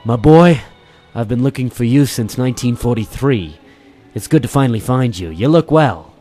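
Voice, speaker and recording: A man's voice: mid-range voice, animated, moderate pace, mellow, natural voice. A man voicing an old, alert detective agency man with a formal, fatherly manner in a radio-style detective drama, music behind him. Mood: avuncular, proud. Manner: formal, avuncular, addresses listener as boy and son.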